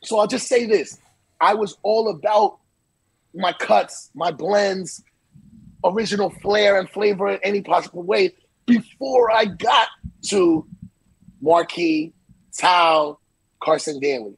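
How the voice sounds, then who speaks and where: A man in his forties joins the show by video call from a small room at home, with a raised, gravelly and somewhat husky voice. He tells stories in an animated, unfiltered way, swearing and circling back over his words. The audio is close and dry. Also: high-pitched, slow pace, long pauses, dry recording, rough recording. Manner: animated, hand-waving storyteller, swears freely and repeats himself. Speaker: DJ and interview guest. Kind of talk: casual podcast interview.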